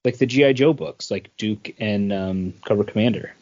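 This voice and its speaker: A man's voice: lowish voice, moderate pace, a little mellow, muffled recording. A man co-hosting a podcast in casual discussion, hesitant as he searches for his words. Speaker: podcast co-host. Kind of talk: casual group discussion about comics.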